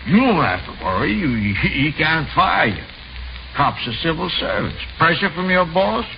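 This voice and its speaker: An actor playing a harried, folksy housing developer in a 1950s radio drama. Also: male voice, a little high, very animated, moderate pace, gravelly, soft background music. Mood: fretful. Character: harried, grumbling male housing developer under money pressure. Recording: muffled vintage radio recording, faint noise.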